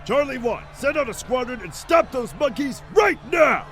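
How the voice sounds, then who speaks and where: A man playing a combative, gruff security commander with a forceful manner in an audiobook. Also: extremely high pitch, animated, moderate pace. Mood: barking orders. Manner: forceful, barking orders. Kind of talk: audiobook character acting. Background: prominent music with outdoor ambience.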